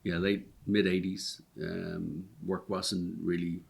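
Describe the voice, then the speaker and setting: Low-voiced Dublin-accented man. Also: flat delivery, takes it slowly, creaky, breathy edge. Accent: Irish, Dublin. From Ireland. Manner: easygoing, rambling storyteller with frequent ums. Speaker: restaurant co-owner, guest. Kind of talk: casual interview chat. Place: inside one of his restaurants.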